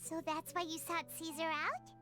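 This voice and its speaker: A woman's voice: animated, moderate pace, full character voice. A woman voicing a tiny chirpy female fairy companion in a video game, earnest and childlike. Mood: concerned. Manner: speaks of self in third person, squeaky, earnest. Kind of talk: cartoonish game dialogue. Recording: loud music under the voice.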